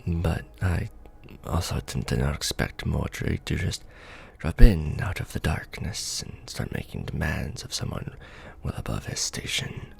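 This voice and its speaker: A man playing a courtly, conflicted vampire lord in a romantic ASMR fantasy roleplay, speaking formally and with measured care. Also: expressive, a little hiss or hum, exaggerated voice. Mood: tender, awkward. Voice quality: low, creaky. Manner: formal, measured, hesitant when tender. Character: courtly, conflicted vampire lord, tender toward his beloved.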